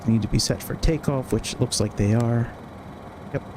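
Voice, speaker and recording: A man's voice: medium pitch, normal speed. A man streaming a flight simulator, casually thinking aloud through a checklist in short clipped phrases. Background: cockpit ambience and engine noise from flight simulator.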